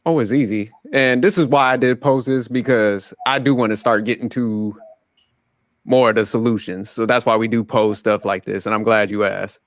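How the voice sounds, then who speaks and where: Man, forties, podcast host, relaxed and rambling, repeats words, dry close sound. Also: fairly low pitch, moderate pace, dry recording. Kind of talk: casual panel discussion.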